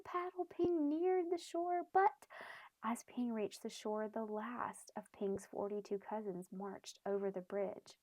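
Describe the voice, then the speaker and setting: Husky-voiced woman reading a children's storybook. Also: a little high, dry recording, poor audio. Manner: animated, explains things simply to children. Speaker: children's read-aloud host. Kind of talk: expressive storybook read-aloud with asides to young listeners.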